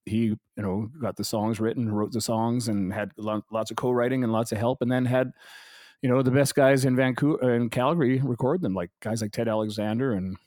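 A middle-aged Canadian man chats easily as an interview guest, laughing often and stumbling over repeated words. His voice sits at an ordinary pitch, and the recording is dry and close. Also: fairly even intonation, brisk, darkish tone, no room sound. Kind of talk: casual conversation.

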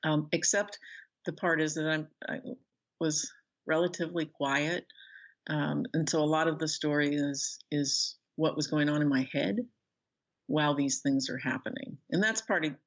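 A middle-aged American woman being interviewed as an author, speaking thoughtfully and haltingly as she searches for words. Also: deep voice, fairly even intonation, dull audio. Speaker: interview guest, author and professor. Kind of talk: reflective interview conversation.

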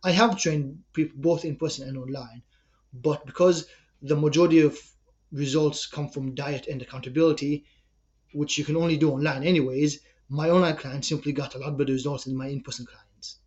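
A man's voice: fairly high pitch, fairly even intonation, a little fast, darkish tone, muffled recording. A young man with an Israeli accent, a personal trainer answering podcast interview questions in an earnest, explanatory way.

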